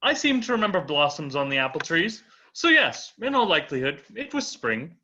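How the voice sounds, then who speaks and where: A man's voice: a little high, very animated, brisk, bright, forceful tone, heavily acted. A man playing a witty, unflappable Russian count on trial in an amateur dramatic reading, formal, eloquent and dryly flippant. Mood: wryly unruffled. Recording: dull, muffled lo-fi recording.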